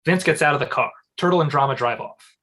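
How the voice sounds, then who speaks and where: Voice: medium pitch, fast, a bit bright. A narrator reading screenplay stage directions aloud in scripted narration for a table read.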